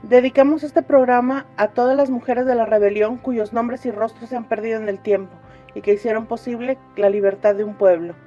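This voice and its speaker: An earnest actress playing a woman commentator in a scripted radio drama about a fictional republic. She speaks solemnly and with emphasis, repeating phrases deliberately as she reflects on history. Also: a little high, steady tone, mellow, highs cut off, soft background music, natural voice.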